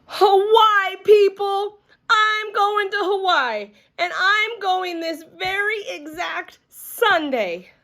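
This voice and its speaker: A woman voicing an excitable, boastful little first-grade girl with emphatic childlike phrasing in a storybook read-aloud. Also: very high-pitched, takes it slowly, voice pushed up, heavily acted. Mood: hollering, thrilled.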